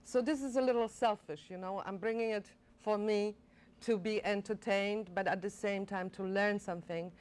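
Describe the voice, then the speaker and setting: An older woman from Poland, speaking as a guest in a TV studio interview, enthusiastic and animated with frequent fillers and restarts. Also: medium pitch, normal speed. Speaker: director of a college performing arts center.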